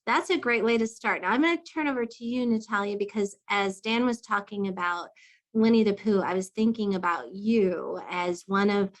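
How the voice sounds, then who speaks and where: A woman's voice: medium pitch, moderate pace. Enthusiastic woman hosting a podcast. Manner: warm, enthusiastic, conversational. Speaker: podcast host. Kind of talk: podcast interview.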